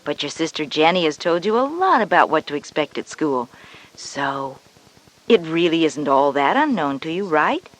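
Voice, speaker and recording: A woman playing a calm, comforting mother who reasons gently in an educational story tape. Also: low voice, moderate pace, low-quality recording. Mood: calm, reassuring. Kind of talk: scripted educational audio drama.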